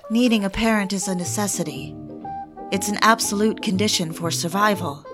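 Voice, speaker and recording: Actress doing a romantic woman in love, mid pitch, intimate n reflective, audio drama w music clearly underneath. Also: moderate pace, natural voice. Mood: wistful, reflective. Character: romantic, yearning woman in love, recounting her affair. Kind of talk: audio drama, confessional first-person narration.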